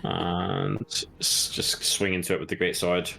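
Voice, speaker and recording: A man's voice: deep voice, sing-song, a little slow, gravelly, sharp tone, faint music behind, low-quality recording. A man playing a tabletop game who chats casually and hesitates often, with lots of fillers. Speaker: player.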